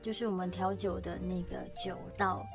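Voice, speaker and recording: A woman's voice: lowish voice, steady tone, moderate pace. A young woman, co-hosting a casual chat about her radio drama, laughing often as she talks. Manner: giggly, chatty, laughs often. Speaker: radio drama co-host and voice actor. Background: music.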